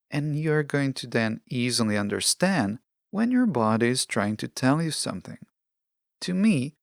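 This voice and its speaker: A man hosting a solo self-help podcast, speaking in measured, deliberate short phrases. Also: medium pitch, gravelly, dry recording, clean studio sound. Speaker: podcast host.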